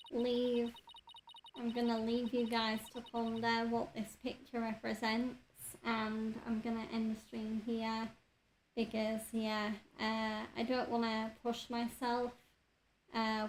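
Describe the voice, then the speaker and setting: A woman game streamer chatting casually over her play, her voice slightly croaky from a cold, with relaxed talk and frequent fillers. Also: a little high, slight background noise, low-quality recording. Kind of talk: casual let's-play commentary.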